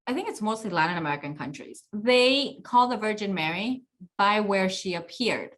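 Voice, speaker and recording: A woman's voice: medium pitch, lively intonation, moderate pace, fairly bright tone. A woman from Colombia hosting a talk show, speaking in a casual, reflective way and often restarting her sentences as she shares personal stories. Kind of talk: casual conversational interview.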